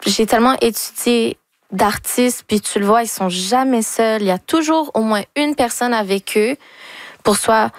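A young woman with a Québécois French accent, a singer answering interview questions in a relaxed way and dropping English words into her French. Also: on the high side, normal speed, dry recording. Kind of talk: casual interview conversation.

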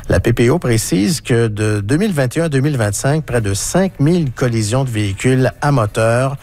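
A man's voice: mid-range voice, expressive, darkish tone. A man hosting a morning radio show, interviewing a guest and then reading the news. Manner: measured, asks questions then reads news. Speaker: radio host.

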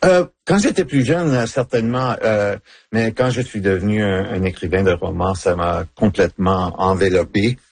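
A middle-aged man, a novelist whose first language is English, speaking French haltingly in a radio interview with many fillers and restarts. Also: fairly low pitch, creaky, breathy edge. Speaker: novelist guest.

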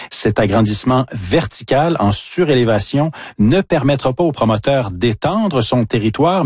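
Husky male newsreader, dry studio sound. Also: on the low side, darkish tone, no room sound. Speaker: regional news bulletin presenter. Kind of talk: scripted news reading.

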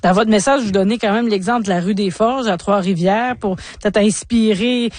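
A radio host asking brisk, pointed questions in an interview. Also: mid-range voice, no room sound.

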